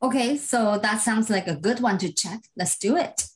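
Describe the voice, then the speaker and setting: A woman hosting a podcast, calmly reading out prepared introductions and questions. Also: on the high side, normal speed. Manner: composed, reads out prepared questions. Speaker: podcast host. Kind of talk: scripted podcast interviewing.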